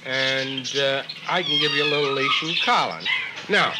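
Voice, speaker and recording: A man working as a pet shop keeper, explaining things to a customer in a halting, plain-spoken way. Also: medium pitch. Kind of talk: unscripted shop advice to a customer. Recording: very noisy 1950s field recording.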